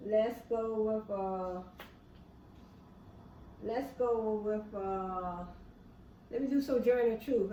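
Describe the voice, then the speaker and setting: A woman reading history notes aloud, halting with many fillers, rereading passages and then restating them in plain words. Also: medium pitch, dark tone, distant mic, lo-fi sound. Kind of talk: casual reading and explaining aloud. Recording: echoey room, voice off-mic, faint background hum.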